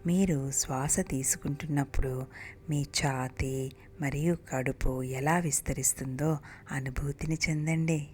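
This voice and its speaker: A woman leads a guided meditation in a soft, breathy, slow and soothing way. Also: deep voice, fairly even intonation. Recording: music bed behind the voice. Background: meditation music.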